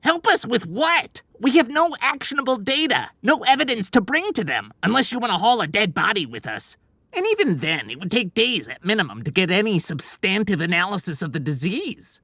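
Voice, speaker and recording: A man's voice: high-pitched, very animated, a little fast, pushed and bright, no room sound, clean studio sound, pitched above the performer's own voice, full character voice. A man voicing a cocky, skeptical little male elf in an audiobook, brash and sardonic. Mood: exasperated, skeptical.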